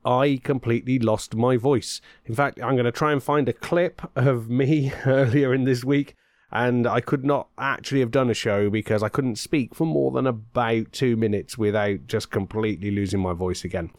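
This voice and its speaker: A man hosts a podcast in a chatty, rambling manner, tossing in the odd filler. His voice sits at a middle pitch. The sound is dry and close, with no room echo. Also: steady tone, normal speed. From Britain. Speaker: podcast host and author. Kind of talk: casual podcast chat.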